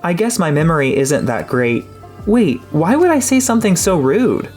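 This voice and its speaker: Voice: medium pitch, very animated, moderate pace, darkish tone, voice pushed up, lightly acted. A narrator voicing an upbeat, joking father in his twenties in an audiobook. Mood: confused. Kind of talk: audiobook character dialogue. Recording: music behind the voice.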